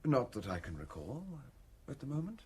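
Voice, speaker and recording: A man playing a discreet, self-serving valet in a radio drama, speaking with formal, measured and guarded politeness. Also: mid-range voice, sing-song, breathy edge. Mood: evasive. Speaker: actor in a radio play. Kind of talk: British radio drama dialogue.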